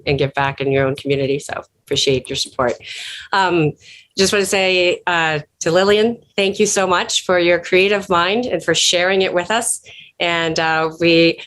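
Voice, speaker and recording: A woman's voice: fairly low pitch, a bit bright. A woman speaking informally and effusively as host of an online ceremony, encouraging and full of thanks. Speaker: event host and organizer. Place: online video call.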